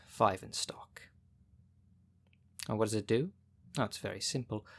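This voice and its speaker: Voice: medium pitch, gravelly. A dry, bossy city witch, acted out in ASMR roleplay. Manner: dry, matter-of-fact, casually bossy. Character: sardonic, brisk witch shopkeeper of a city potion shop, female. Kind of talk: ASMR roleplay, speaking directly to the listener.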